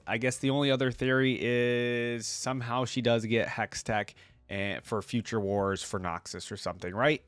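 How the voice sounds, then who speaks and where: A man's voice: mid-range voice, dry recording. A man hosting a reaction video, giving casual, rambling, conversational commentary to his viewers. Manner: conversational, rambling, peppered with "like" and "you know".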